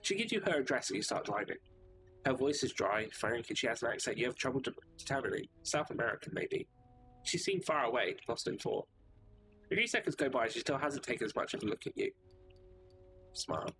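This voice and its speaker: A man's voice: medium pitch, big pitch swings, fairly quick, noisy background. A man streaming a narrative game live, reading the narration aloud with casual asides of his own. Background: game music.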